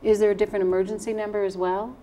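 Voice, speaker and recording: A woman's voice: medium pitch, little pitch movement, rapid-fire, mellow. A woman with an American accent hosting an interview, asking questions in a conversational way. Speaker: interviewer, host.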